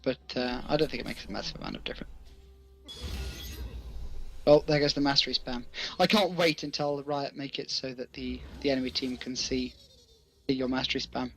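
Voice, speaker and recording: A man's voice: mid-range voice, steady tone, brisk, long pauses, a bit bright. A man doing casual esports commentary, thinking aloud as he analyses and calls the play.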